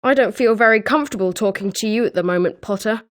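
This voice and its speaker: A woman voicing an excitable young British schoolboy wizard in a video game, with animated scripted delivery. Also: medium pitch, fast talker, lightly acted. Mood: chatty. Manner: animated, expressive.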